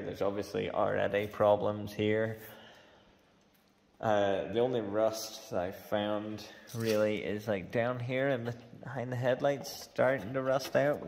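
A young man in his twenties vlogging about a car, excited, rambling and thinking aloud, filmed at arm's length in a large garage shed. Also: on the low side, in a large hall. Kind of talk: casual vlog talk. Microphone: handheld camera at arm's length.

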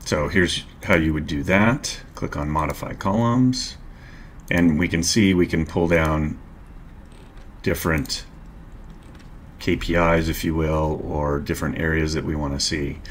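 A middle-aged man narrating a screen-share tutorial, calmly explaining each step and click as a marketing instructor. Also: very deep voice, measured pace, slight background noise. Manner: calm, explanatory, walks through clicks step by step.

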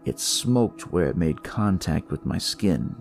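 A man's voice: sits low, expressive, slow pace, creaky, darkish tone, soft background music. A man narrating a first-person detective tale as a scripted story.

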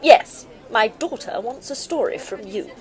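A woman's voice: a little high, lively intonation, unhurried, crowd noise. An actress playing a snobbish, indulgent wealthy mother, haughty and gushing, in an audio drama. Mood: imperious.

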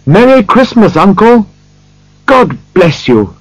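A man's voice: mid-range voice, huge pitch swings, takes it slowly, breathy edge, no room sound, pitched above the performer's own voice, heavily acted, rough recording. A man voicing a kind, cheerful young nephew in a storybook, hearty and earnest. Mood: cheerful, surprised.

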